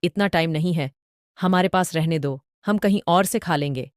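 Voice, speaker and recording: A woman's voice: lowish voice, normal speed, no room sound, studio quality, lightly acted. A woman playing an impatient food-stall customer. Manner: impatient, complaining.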